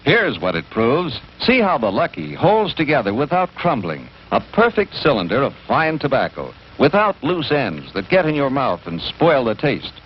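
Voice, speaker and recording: A man announcing a 1950s commercial as an assured American pitchman, with an emphatic, measured sales delivery. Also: huge pitch swings. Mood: assured, persuasive. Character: smooth-selling, assured male 1950s cigarette pitchman. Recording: dull, noisy 1950s broadcast.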